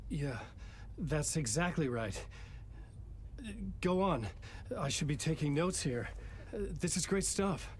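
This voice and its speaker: A man's voice: on the high side, animated, slight background noise. An actor playing a bewildered, uneasy male novelist as a talk show guest, speaking hesitantly and naturally. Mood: resigned, wry. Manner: hesitant, halting, confused. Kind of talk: naturalistic screen acting.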